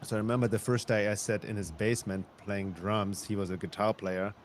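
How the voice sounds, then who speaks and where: This is a man in his fifties from Germany speaking as a podcast guest. His voice sits low, and he tells his story in a reflective way, often restarting his sentences. A faint hum of outdoor traffic runs behind him. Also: little pitch movement, a little fast. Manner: reflective, with frequent restarts and light laughs. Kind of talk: conversational interview storytelling.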